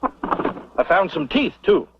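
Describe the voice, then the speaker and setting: A man's voice: high-pitched, sing-song, takes it slowly, gravelly, background noise, heavily acted. A man playing a courteous, enthusiastic professor in an old western drama, explaining things in a scholarly, theatrical way. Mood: cordial, pleased. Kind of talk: mid-century western drama acting. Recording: muffled vintage soundtrack, loud music.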